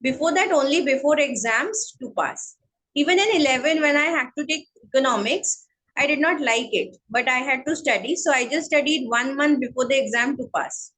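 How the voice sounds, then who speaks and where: A woman with a Bengali accent speaking English as a guest in an interview, slow and hesitant with many fillers. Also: high-pitched, a bit bright, low-quality recording. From India. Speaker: guest, counselling psychologist. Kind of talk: online interview, casual chat.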